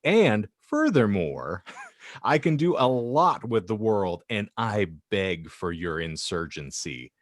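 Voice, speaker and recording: A man's voice: fairly low pitch, very animated, slow, dry recording, studio quality. A man playing a despotic, contemptuous president, making pompous, theatrical speeches in an improvised comedy podcast bit. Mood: stern, imperious. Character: despotic ranting US president, male, gleefully contemptuous.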